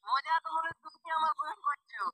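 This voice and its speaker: A man's voice: very high voice, fairly quick, sharp tone, dry recording. A man, protesting and questioning in a casual phone conversation, heard through thin telephone audio. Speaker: prank call recipient.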